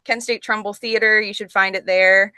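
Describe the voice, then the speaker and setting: A woman's voice: mid-range voice, fairly even intonation, moderate pace, pushed and bright, smooth, no room sound. A woman chatting casually as a podcast guest, an actress and playwright talking about her work. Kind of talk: casual chat.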